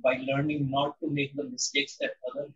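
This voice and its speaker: A man in his sixties from India, answering interview questions in a measured, reflective way with frequent pauses and ums. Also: mid-range voice, completely flat delivery, fairly bright tone, far from the mic, muffled recording, a little hiss or hum. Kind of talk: interview conversation.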